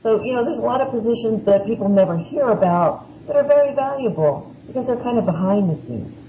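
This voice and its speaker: Male guest expert, high voice, casual explainer, muffled recording. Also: expressive, a little fast. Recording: dull audio with faint noise.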